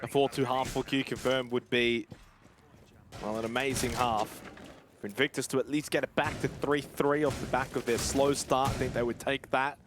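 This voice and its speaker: A man's voice: mid-range voice, fairly even intonation, moderate pace, background noise. A man giving colour commentary on a live esports match in a conversational, reactive way.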